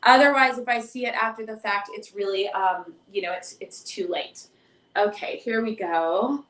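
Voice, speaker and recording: A woman with a hoarse voice chatting casually and affectionately as she hosts a livestream as a tarot reader, reading out viewer comments. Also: mid-range voice, normal speed, fairly bright tone. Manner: effusive, affectionate greetings and endearments. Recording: echoey, roomy live stream.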